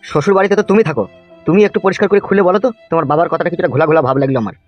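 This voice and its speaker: A man's voice: fairly high pitch, rapid-fire, muffled recording, soft background music, natural voice. A man voicing a bossy, crude village politician in a comedy cartoon, with coarse, scolding talk. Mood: bossy, probing.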